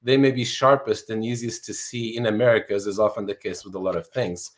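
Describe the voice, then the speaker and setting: A man's voice: lowish voice, fairly even intonation, brisk. A man in his sixties from Portugal, an author answering interview questions in an earnest, explanatory way. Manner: earnest, explanatory, fluent with some restarts. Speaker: author and guest.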